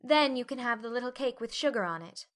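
A woman's voice: fairly high pitch, lively intonation, fairly quick, voice pushed up, close to an everyday voice. A woman voicing an affectionate, coaxing young wife and mother for a storybook reading. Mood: mildly exasperated.

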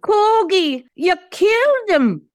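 An earnest female show dog with acting ambitions, played by a woman, speaks high and very slowly. The delivery is theatrical and swooping, in an audio play. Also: very animated, soft background music, full character voice. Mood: alarmed. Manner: theatrical, drawn-out.

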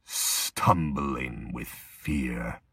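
A man with a husky voice narrating a dark audio drama slowly and ominously, with dramatic pauses. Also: rumbling low, no room sound, clean studio sound.

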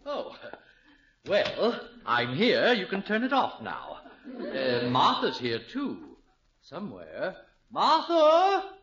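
An actor playing an amiable, muddled older father-in-law in a 1950s radio comedy, halting and forgetful. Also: sits high, wildly swooping pitch, fairly bright tone, full character voice. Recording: muffled, noisy old radio broadcast.

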